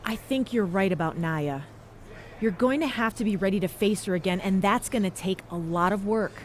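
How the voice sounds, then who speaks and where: A woman's voice: mid-range voice, moderate pace, slight background noise, close to an everyday voice. A woman voicing a supportive, experienced wrestling veteran in a video game cutscene. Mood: caring, earnest.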